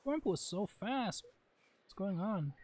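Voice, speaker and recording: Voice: mid-range voice, animated, a little slow, a little mellow, breathy edge. A gamer giving casual, exclamatory gameplay commentary, reacting aloud to the play. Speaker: gaming host.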